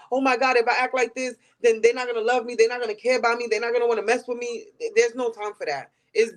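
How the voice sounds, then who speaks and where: Podcast guest: New York woman, late 30s, high-pitched, candid, emphatic delivery. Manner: emphatic, repeats phrases for stress. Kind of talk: candid interview talk.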